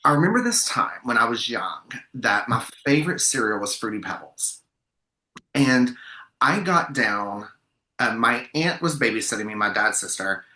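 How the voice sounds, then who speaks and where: A man's voice: mid-range voice, expressive, moderate pace, pushed and bright, reverberant, low-quality recording. A man hosting a podcast, chatting enthusiastically and rambling with restarts as he interviews a guest. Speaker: podcast host. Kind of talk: casual interview chat.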